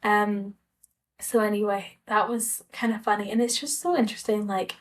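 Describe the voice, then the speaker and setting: A woman's voice: medium pitch, little pitch movement, moderate pace. A woman from Scotland with a Scottish accent, telling a personal story in a hesitant, rambling, conversational way, like a casual vlog. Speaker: travel vlogger.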